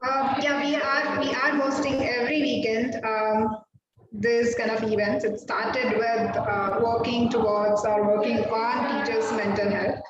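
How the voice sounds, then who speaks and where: A woman's voice: a little high, flat delivery, a little slow. A woman hosting an online event, giving informal remarks hesitantly as she searches for words. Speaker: host and organiser of teacher events. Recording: very echoey, distant, dull, noisy call.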